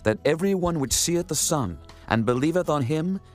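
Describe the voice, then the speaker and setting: An actor playing a calm, authoritative male teacher in a dramatized scripture reading, speaking in a measured, solemn way. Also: a little high, faint music behind, lightly acted.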